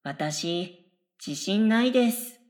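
A woman playing an oddly polite, daydreaming middle-school girl in a radio comedy, musing absurdly in earnest, deadpan, polite speech. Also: medium pitch, slow pace. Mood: dreamy longing. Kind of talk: scripted radio comedy dialogue.

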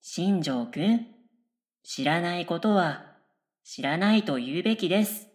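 A woman playing an oddly polite, daydreaming middle-school girl in a radio comedy, musing absurdly in earnest, deadpan, polite speech. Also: on the low side, a little slow. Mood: earnest, insistent. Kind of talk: scripted radio comedy dialogue.

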